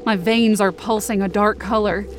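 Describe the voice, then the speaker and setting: A woman's voice: medium pitch, fairly even intonation, normal speed, background noise, close to an everyday voice. A woman playing a wounded, angry, defiant young woman, narrating in the first person with intense feeling in an audio drama. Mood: urgent, distraught. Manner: intense, emotive.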